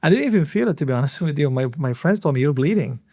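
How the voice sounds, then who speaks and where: A man in his fifties from Lebanon, an Arabic speaker, recounting his life in an earnest, reflective interview with many filler pauses. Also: fairly high pitch, animated, warm tone, no echo, clean studio sound. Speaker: hospital CEO, podcast guest. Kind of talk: interview storytelling.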